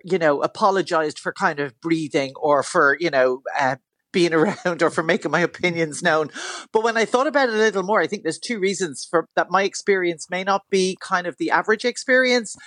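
A middle-aged woman from Ireland with a Dublin accent and a loud voice, chatting forthrightly as a radio guest and laughing easily. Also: fairly low pitch, no room sound, studio quality. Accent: Dublin Irish. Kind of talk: casual radio chat.

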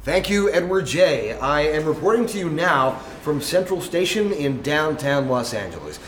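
A man's voice: on the high side, very animated, moderate pace, fairly bright tone. An actor playing a formal, probing male 1920s radio reporter on location, pressing a suspect. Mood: formal, eager. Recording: noisy station ambience behind voice.

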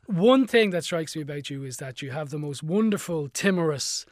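An enthusiastic male radio host with a somewhat high voice chats with guests and introduces films. The studio sound is close and dry. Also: animated, moderate pace, no room sound. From Ireland. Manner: enthusiastic, chatty. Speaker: radio film show host. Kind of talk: radio interview and presenting. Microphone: studio mic.

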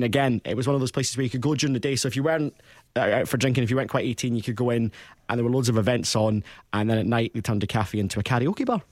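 A man's voice: mid-range voice, no room sound, clean studio sound. A Scottish man co-hosting a casual chat show, talking with chatty ease. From Scotland. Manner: chatty, uses Scottish words. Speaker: radio co-host.

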